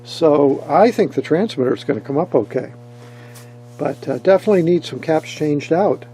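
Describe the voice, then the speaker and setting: A man with a husky voice giving a casual, hands-on technical commentary, thinking aloud as he works. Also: on the high side, lively intonation, moderate pace, warm tone, faint music behind. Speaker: host of an electronics repair vlog.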